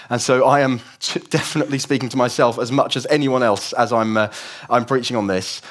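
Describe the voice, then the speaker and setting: A young man preaching a conversational, explanatory sermon in a church service, his voice croaky from a cold. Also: medium pitch. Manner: conversational, explanatory, with frequent restarts.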